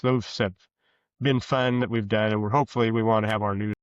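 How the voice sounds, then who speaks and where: An older man talks casually as a guest in an interview, in a fairly low voice. He rambles along with good humour. The recording is dry and somewhat muffled. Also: fairly even intonation, fairly quick, clean tone, no echo. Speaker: fiction author, podcast guest.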